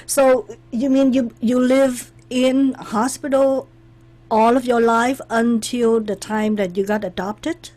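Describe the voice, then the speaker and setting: A woman hosting an interview, reading a guest introduction aloud and then asking questions in a deliberate way. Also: fairly high pitch, fairly even intonation, a little mellow. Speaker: interview host.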